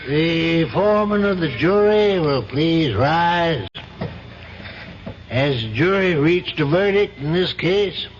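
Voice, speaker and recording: An actor playing a formal, measured trial judge in an old-time radio drama. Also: male voice, a little high, expressive. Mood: solemn. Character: solemn presiding trial judge. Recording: muffled, faintly noisy old radio broadcast.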